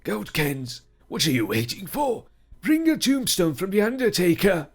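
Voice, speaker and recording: A man's voice: high-pitched, big pitch swings, moderate pace, breathy edge, pitched above the performer's own voice, full character voice. A man reading the lines of a stern, ruthless middle-aged male village priest in a storybook, in a commanding tone that drags out emphatic words. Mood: astonished.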